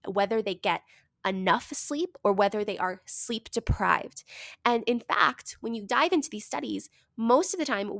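A woman's voice: medium pitch, fairly bright tone, no room sound, clean studio sound. Congested woman hosting a chatty podcast. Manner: chatty, explanatory, with frequent fillers. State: congested from a cold. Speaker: podcast host. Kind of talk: casual solo podcast talk.

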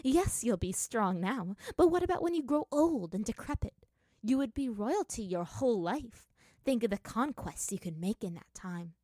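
A woman's voice: a little high, expressive, normal speed, mellow, no echo, voice pushed up. A woman voicing a malevolent, unhinged princess apparition in a story, proud, taunting and grandiose. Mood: scornful, persuasive.

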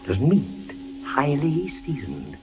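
An actor playing a condemned, fevered army captain in a theatrical, halting, anguished 1940s radio suspense style. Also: male voice, on the high side, huge pitch swings, over music, exaggerated voice. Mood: weary, dazed. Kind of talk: 1940s radio suspense acting, narration and dialogue. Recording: noisy, muffled 1940s radio recording.

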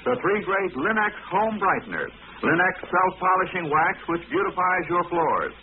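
Higher-voiced man announcing on vintage radio. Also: lively intonation, normal speed. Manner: polished, declamatory selling. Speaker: sponsor announcer. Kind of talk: 1940s radio advertisement, scripted. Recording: tinny old radio recording, faint noise.

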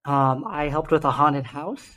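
A young man with a slightly raspy, hoarse voice, earnestly hosting a solo podcast and slowing down to stress key numbers. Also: fairly high pitch, a bit bright. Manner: earnest, stresses numbers slowly, self-interrupting restarts. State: hoarse from shouting the day before. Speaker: podcast host.

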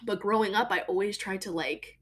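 A young woman hosting a podcast, talking casually and emphatically about her own life, with a voice she calls deeper than most women's. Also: fairly quick, fairly bright tone. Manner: chatty, emphatic, lots of fillers. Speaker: podcast host. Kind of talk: casual personal monologue.

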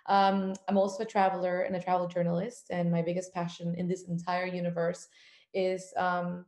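A woman from Cuba speaks as a podcast guest, telling her story with eager energy and frequent "um"s. Her voice sits fairly low. The room around her sounds echoey and open. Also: completely flat delivery, moderate pace. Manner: warm, enthusiastic storytelling with many "um" fillers. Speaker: podcast guest, travel journalist. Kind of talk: casual interview talk.

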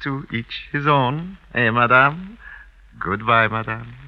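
An actor playing a suave, worldly older French marriage broker, courtly and insinuating, in an old radio drama. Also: male voice, medium pitch, lively intonation, slow. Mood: wry, nostalgic. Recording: dull vintage radio recording.

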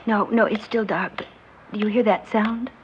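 A woman's voice: medium pitch, steady tone, normal speed, lightly acted. An actress playing an anxious, vain wife and mother of about forty, genteel and hesitant, in a mid-century radio drama. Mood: hushed, fearful. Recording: muffled, noisy old radio recording.

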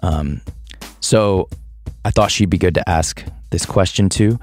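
A man's voice: deep voice, measured pace, a little mellow, faint music behind. A man in his thirties hosting a podcast, thinking aloud in a loose, hesitant way with restarts. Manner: thoughtful, hesitant, with restarts and laughs. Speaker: podcast host. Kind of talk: reflective podcast monologue.

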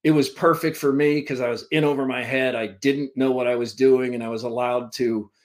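A man's voice: mid-range voice, steady tone, a little fast. Man, 50s, from Vermont, sports broadcaster guest, rambling, self-deprecating, computer call. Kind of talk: casual storytelling interview. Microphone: remote call from a computer.

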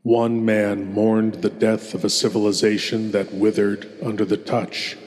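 Portentous guy hosting a spooky radio show, slow scripted monologue, slightly low voice, bit of noise. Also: flat delivery. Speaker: horror radio show host. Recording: faint background noise.

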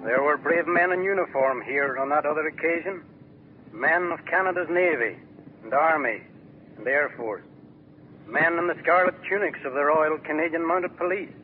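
A proud, wistful small-town editor held captive, acted by a man in a 1940s radio play. His voice is on the higher side, earnest and reverent as he remembers. The sound is narrow and tinny, with music behind it. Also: normal speed, close to an everyday voice. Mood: proud, nostalgic. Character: proud captured country newspaper editor, wistful Canadian patriot. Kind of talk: 1940s radio drama acting.

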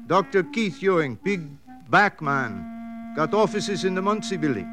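An actor playing a forlorn abandoned rug dealer with plaintive, clipped phrases, in 1950s radio drama style. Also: male voice, high-pitched, very animated, unhurried, music in the background.

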